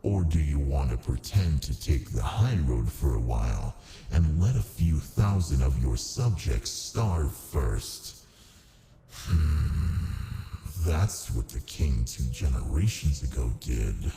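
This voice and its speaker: A man playing a haughty, seductive ancient archdemon in an ASMR roleplay, with a gravelly, languid, condescending delivery. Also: a bass voice, lively intonation, takes it slowly, warm tone, slight background noise, exaggerated voice. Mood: taunting, persuasive.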